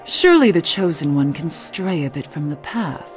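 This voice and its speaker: A woman playing a somber, gently wry cursed witch in a video game, measured, earnest and questioning. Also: low-pitched, sing-song, over music. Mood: probing, composed. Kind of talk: video game cutscene acting.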